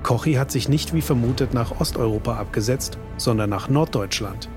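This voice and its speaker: Guy narrating true crime, scripted and dramatic, middle pitch, clear music underneath. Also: normal speed. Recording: loud suspense music underneath.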